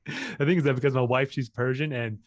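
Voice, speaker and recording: A man's voice: medium pitch, animated, brisk, no room sound, studio quality. A man hosting a personal finance interview, asking questions in a casual way with many fillers. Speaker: host.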